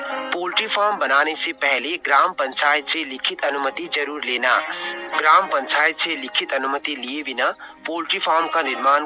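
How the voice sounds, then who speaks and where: A man giving scripted, step-by-step instructional narration as a poultry farmer, explaining methodically and repeating his points. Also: fairly high pitch.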